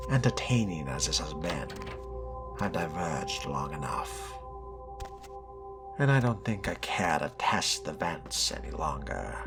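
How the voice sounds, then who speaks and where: A man with a very deep voice muses his way through a roleplaying game. He is slow and measured, with wry, formal phrasing and lively pitch movement. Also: gravelly. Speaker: roleplaying gamer narrating a playthrough. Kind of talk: in-character game roleplay, musing and reading aloud.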